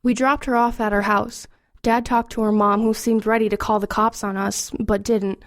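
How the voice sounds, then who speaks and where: Woman acting anxious teenage girl, high voice. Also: level tone, normal speed, natural voice. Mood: uneasy narrating. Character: anxious, defiant teenage girl, haunted narrator. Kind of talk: audio horror drama with confessional narration. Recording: background noise and music under voice.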